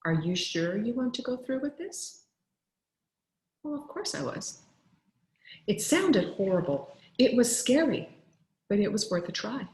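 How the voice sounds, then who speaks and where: A woman's voice: mid-range voice, sing-song, a little slow, long pauses, a little mellow. Echoey woman reading a storybook aloud. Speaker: teacher reading a children's book aloud. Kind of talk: expressive storybook read-aloud. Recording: roomy, echoing sound.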